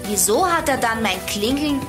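A woman's voice: on the high side, animated, moderate pace, lightly acted. A woman playing a clever, eager girl detective in a children's audio drama. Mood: confident. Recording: roomy echo, music behind.

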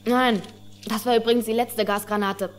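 A woman voicing a frank, bright young boy survivor in film dialogue, matter-of-fact and slightly hesitant. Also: a little high, fairly even intonation, normal speed, lightly acted. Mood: frank, curious. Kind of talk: German film dubbing dialogue.

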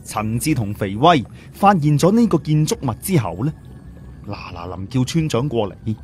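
A man's voice: medium pitch, sing-song, normal speed, mellow, soft background music. A man narrating a story in a dramatic audiobook style.

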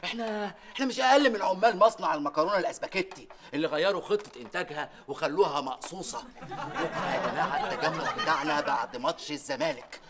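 A man's voice: a little high, natural voice. A man playing a factory foreman giving rallying orders, in an Egyptian accent. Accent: Egyptian Arabic. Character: rousing male factory foreman, scheming.

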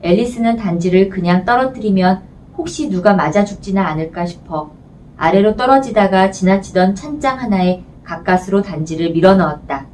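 A woman's voice: mid-range voice, lively intonation, moderate pace, dark tone. A woman narrating a children's storybook as an audiobook reader, in scripted narration. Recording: distant mic, faint background noise.

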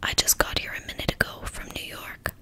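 A woman voicing a gruff, commanding man who stays in control, all in a whisper. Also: brisk, pushed and bright, natural voice. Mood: grave.

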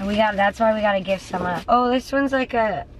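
A young woman in her twenties, chatting with a friend in a car in a bubbly, excited way. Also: medium pitch, brisk, background noise. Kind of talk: casual banter. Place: inside a car.

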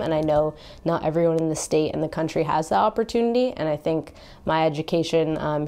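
A young college student from Maine speaks thoughtfully to camera, her sentences full of ums and likes. Her voice is low and mellow. Faint music sits in the background. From Maine, USA. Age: young adult. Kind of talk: personal testimony to camera. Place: indoor studio against a light wall. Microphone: lapel mic.

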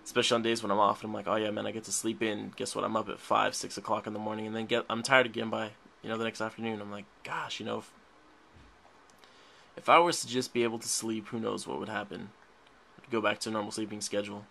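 A man's voice: on the low side, little pitch movement, quick pace, vocal fry, a bit bright, a little hiss or hum. A young man chatting casually while playing a mobile game, rambling and laughing a lot, a little sick. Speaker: gaming channel host. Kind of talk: casual let's play commentary. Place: indoors at a desk, filming a tablet.

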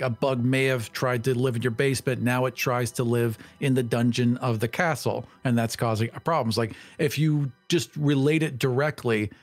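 A man's voice: mid-range voice, no echo. A man talking casually as a podcast co-host. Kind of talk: casual chat. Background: background music.

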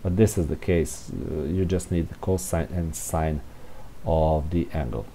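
Low, mellow, slow-talking man thinking aloud. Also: fairly even intonation. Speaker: presenter of a programming tutorial. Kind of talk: informal unscripted screencast walkthrough of code. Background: room heater hiss.

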